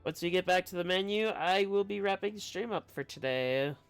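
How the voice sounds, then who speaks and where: A man's voice: high-pitched, a little fast, clear, resonant tone. A man giving casual, rambling commentary as a game streamer, often breaking off mid-sentence. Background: faint game music.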